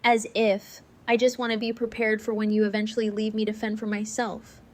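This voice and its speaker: A woman's voice: mid-range voice, monotone, fairly quick, lightly acted. An actress playing a defensive, snarky teenage girl in a survivor-camp audio drama, speaking in casual retorts. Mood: indignant. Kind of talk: fan audio drama acting.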